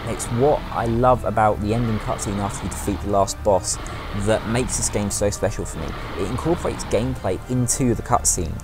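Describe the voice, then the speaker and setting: A man's voice: fairly low pitch, low-quality recording. A man giving scripted opinion commentary as the presenter of a video game countdown. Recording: music behind the voice. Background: loud music.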